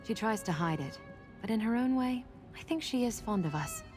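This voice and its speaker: A woman's voice: on the high side, lively intonation, moderate pace, a little mellow, soft background music, natural voice. A woman voicing a thoughtful, hopeful heroine in a fantasy game, speaking earnestly and reflectively. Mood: pensive. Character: thoughtful, hopeful young woman freed from prophecy. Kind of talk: scripted fantasy game acting.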